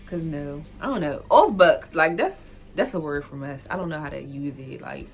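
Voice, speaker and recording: Chatty young D.C. woman, low-pitched voice. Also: expressive, moderate pace, slightly rough, faint music behind. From Washington, D.C. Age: 20s. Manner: loose and chatty, heavy "like" fillers, easy laughter. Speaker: vlogger. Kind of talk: casual solo vlog explainer.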